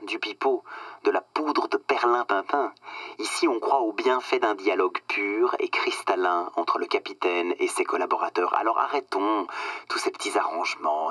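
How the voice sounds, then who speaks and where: An actor playing a smug, cynical corporate boss in a satirical radio drama, slick and condescending, coaching with emphatic pauses. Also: male voice, fairly low pitch, pushed and bright, no room sound.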